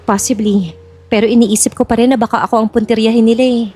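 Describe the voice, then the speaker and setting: A woman's voice: fairly high pitch, fairly even intonation, fast, darkish tone, husky, faint music behind. A woman playing an earnest, guarded young bride-to-be in a Filipino audio drama, speaking conversationally. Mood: worried, confiding. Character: Jasmine, worried young bride-to-be of a rich old man.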